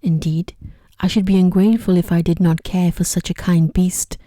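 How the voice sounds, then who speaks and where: A woman voicing a kind, earnest young fairytale heroine, softly and in a hushed ASMR storybook reading. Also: on the low side, steady tone, normal speed, no room sound, studio quality, lightly acted. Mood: indignant.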